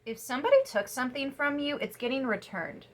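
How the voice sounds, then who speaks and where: A young woman giving a casual tarot reading to camera, chatty and emphatic, sniffly after crying, her voice turning soft when she muses. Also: high-pitched, a little fast. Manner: chatty, emphatic, drops to soft musing asides. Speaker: tarot reader.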